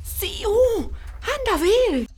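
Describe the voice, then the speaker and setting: An actress playing an alarmed, nagging rural Chilean wife, urgent and shrill, in a radio drama. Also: female voice, big pitch swings, extremely slow, darkish tone, slight background noise, heavily acted. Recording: music behind the voice.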